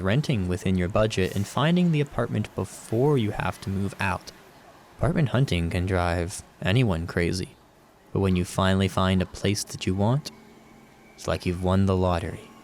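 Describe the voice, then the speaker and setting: A man's voice: deep voice, a little mellow, a little hiss or hum. A man delivering a scripted introduction as the narrator of a radio drama.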